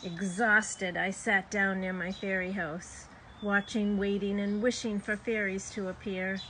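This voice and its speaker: A woman reads a children's storybook aloud in a mid-pitched voice. She tells it expressively, drawing out words for young listeners. Some background noise sits behind her. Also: level tone, a little slow, sharp tone, rough recording.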